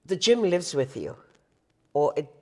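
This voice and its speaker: A woman hosting a talk show interview in an affirming, expressive way. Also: low-pitched, a little slow.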